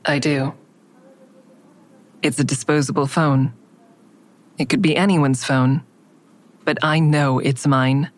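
A woman's voice: low voice, fairly even intonation, slow pace, long pauses. An actress playing an anxious, guarded woman who narrates her own interrogation in an audio drama, restrained and introspective. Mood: guarded, tense. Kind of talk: first-person audio drama narration and dialogue.

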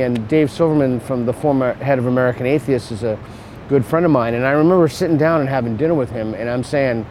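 A man speaking as a guest in a roundtable discussion, earnest and deliberate, often pausing to search for words. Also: medium pitch, dark tone, a little hiss or hum. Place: seated at a table in a city.

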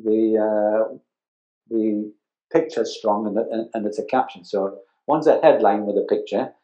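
An older man from northeast England with an English accent, talking casually as an interview guest, explaining haltingly and repeating words and phrases. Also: on the low side, steady tone, mellow, dull audio. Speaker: interview guest, advertising art director. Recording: roomy, echoing sound.

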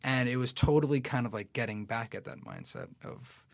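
A man's voice: medium pitch, a little fast, creaky, no room sound. Young man, from new york city, podcast guest, casual and profane, filler-heavy, dry sound. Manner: loose and profane, many fillers.

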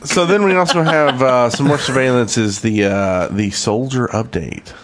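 A man's voice: mid-range voice, moderate pace, crowd noise. A man co-hosting a gaming podcast, talking casually. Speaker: podcast co-host.

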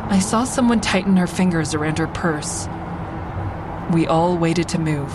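An actress playing a haunted, earnest woman who survived violence, narrating an audio drama in the first person with measured intensity. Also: on the low side, background noise, close to an everyday voice. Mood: haunted, somber.

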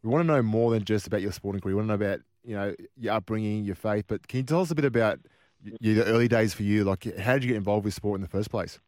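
Quick-talking joking male podcast host. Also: lowish voice, darkish tone, no echo. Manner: friendly, joking, self-deprecating. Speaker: host, retired rugby league player. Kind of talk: casual interview chat.

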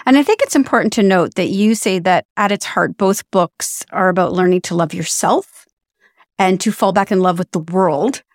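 A woman hosting a podcast, asking measured, friendly questions and reading the outro. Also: medium pitch. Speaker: podcast host. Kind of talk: interview hosting.

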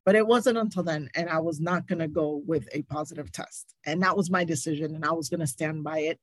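A woman's voice: low voice, a little fast, no room sound. A woman speaking as a nurse guest in a candid interview, emphatic and indignant, repeating points for stress. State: recovering from covid, stuffy.

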